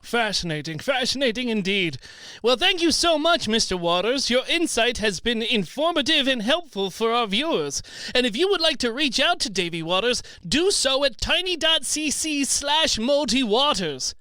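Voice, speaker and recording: Guy doing a super high pitched news interviewer, swoopy, earnest n formal, comedy sketch. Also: sing-song, bright, forceful tone, smooth, no echo, full character voice. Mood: politely puzzled. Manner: formal, measured questioning. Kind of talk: comic mock news interview.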